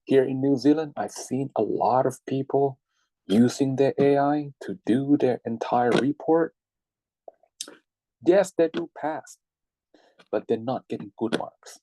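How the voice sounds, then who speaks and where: A man's voice: medium pitch, unhurried, pauses often, mellow, no room sound, low-quality recording. A young Thai man, a native Thai speaker, teaching an online English writing class in a chatty way, full of fillers, often checking in with "right?" and "okay?". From Thailand. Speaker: lecturer. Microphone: computer mic on video call.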